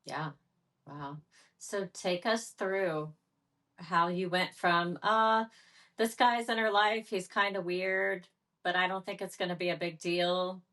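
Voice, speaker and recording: A woman hosting a podcast interview and calmly prompting her guest with questions. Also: on the low side, slow pace. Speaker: podcast host.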